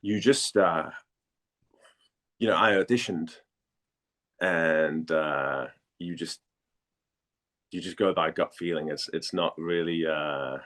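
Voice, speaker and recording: A man from Denmark chatting casually as an interview guest, speaking haltingly and repeating words as he thinks. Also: low-pitched, fairly bright tone.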